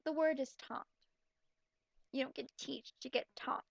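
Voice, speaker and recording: A young woman with a high-pitched voice and plenty of fry reads a story aloud and picks it apart as she goes. Her manner is sarcastic, blunt and exasperated. The sound is close and dry. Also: fairly even intonation, unhurried, no echo, highs cut off. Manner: exasperated, mocking, blunt asides. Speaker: commentator reading fan fiction aloud. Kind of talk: sarcastic reading with running commentary.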